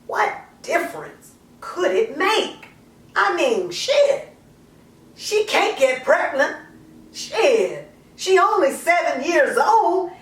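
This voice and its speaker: A woman performing a dramatic monologue as a raw, confessional, crack-addicted mother, in halting, colloquial, emphatic speech. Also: high voice, a bit bright, heavily acted. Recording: roomy echo, distant microphone.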